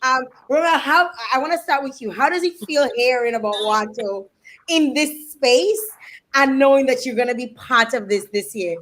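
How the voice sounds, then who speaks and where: A woman's voice: sits high, animated, moderate pace, fairly bright tone, a little hiss or hum. A woman hosting a live interview, chatty and asking playful questions. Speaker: host.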